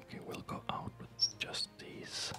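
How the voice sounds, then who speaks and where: A man whispers breathily and haltingly in an unhurried ASMR chat while playing a game, close to a studio microphone at home. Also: music in the background. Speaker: ASMR gamer. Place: quiet home at night. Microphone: close studio condenser microphone.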